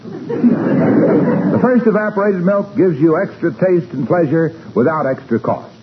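Polished announcer neighbor, animated pitch, cheery, teasing, 1940s radio comedy, noisy recording. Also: male voice, measured pace, highs cut off. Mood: cheery, selling.

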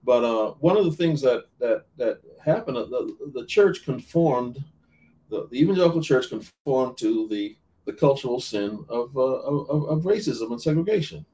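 A man's voice: mid-range voice, animated, moderate pace, dark tone, far from the mic, poor audio. Earnest man preacher, a little off-mic. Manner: earnest, many restarts and repeated words. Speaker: minister. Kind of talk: podcast interview talk.

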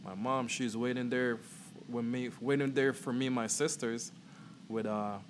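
A man with a Guyanese accent, co-hosting a podcast and telling a relaxed story with frequent "you know" fillers. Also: medium pitch, normal speed, a little hiss or hum. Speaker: podcast co-host. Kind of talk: casual storytelling.

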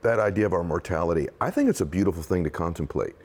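Deep-voiced fast-talking male host. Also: husky. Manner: deep voice, quick and assertive. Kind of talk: interview conversation.

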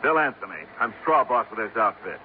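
An actor playing a blunt, suspicious American construction boss who talks curtly and combatively in a 1950s radio drama. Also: male voice, fairly low pitch, sing-song, brisk. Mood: hostile, suspicious. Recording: tinny old radio recording, faint noise.

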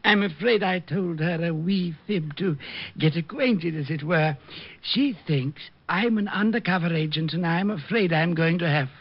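An actor playing a fussy, deferential butler in a prim, formal manner, in the style of 1940s radio drama. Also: male voice, fairly high pitch, moderate pace. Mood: prim, sheepish. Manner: prim, formal, old-fashioned. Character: fussy, deferential butler, meek and easily amused. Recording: dull old radio recording.

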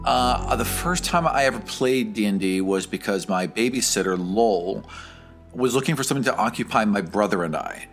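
A man's voice: lowish voice, animated, normal speed, faint music behind. A young man who runs a tabletop game, answering interview questions in a casual, rambling way with many fillers. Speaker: dungeon master and game creator.

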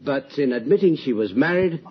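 An actor playing a paternal, confiding company boss, genteel and hesitant, in old-time radio drama. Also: male voice, fairly high pitch, animated, normal speed, breathy edge. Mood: recollecting. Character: concerned, gossipy, paternal male company boss. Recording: muffled, noisy old radio recording.

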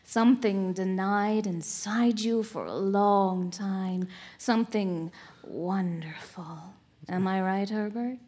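A breathy, slow-speaking seductive captive. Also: female voice, medium pitch, a little mellow. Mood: coaxing, insistent. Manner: slow, beseeching, honeyed. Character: mysterious pleading captive woman, seductive and coaxing. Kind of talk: melodramatic audio drama acting.

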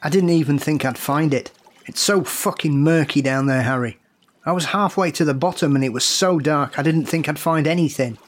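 A man's voice: fairly high pitch, normal speed, lightly acted. A man playing a grieving, defensive brother, a worn-out diver, in blunt, terse audio drama acting. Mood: haunted, subdued.

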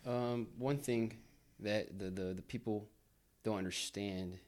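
A young man who grew up in Brazil, hosting a casual podcast interview, talking in halting bursts with repeated words. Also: on the low side, steady tone, darkish tone. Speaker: podcast host.

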